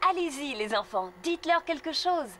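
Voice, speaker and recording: A woman's voice: high voice, lively intonation, moderate pace, a bit bright, slight background noise. A woman voicing a kindly, encouraging nursery teacher who explains things to children in a cartoon dub.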